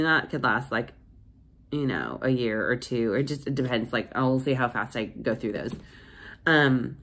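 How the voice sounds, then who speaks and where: A woman in her thirties chatting casually to camera in a home office, rambling with lots of fillers and restarts, sounding nasal from a cold. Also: a bass voice. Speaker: vlogger. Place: small home office indoors. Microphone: phone camera at desk.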